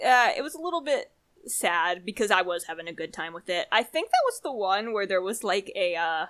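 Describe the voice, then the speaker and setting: A woman's voice: medium pitch, sing-song, fairly quick, fairly bright tone. A woman co-host chatting casually and informally, with a giggly manner. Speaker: podcast co-host. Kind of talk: casual chat.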